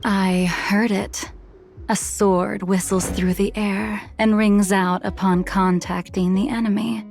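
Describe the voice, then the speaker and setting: A woman voicing a calm, aloof blind swordswoman in an English game dub, measured, formal and knowing. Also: medium pitch, gravelly. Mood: composed, appraising.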